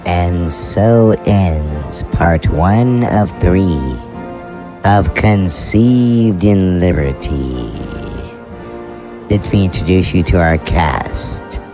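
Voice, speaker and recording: A man's voice: deep voice, extremely slow. A man announcing cast and credits in a scripted outro for an audio drama. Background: music.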